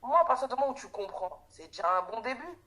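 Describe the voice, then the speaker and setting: Laughing, animated young woman from Haiti. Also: a little high, fast talker. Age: 20s. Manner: laughing, animated, full of interjections. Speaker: guest. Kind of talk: casual chat.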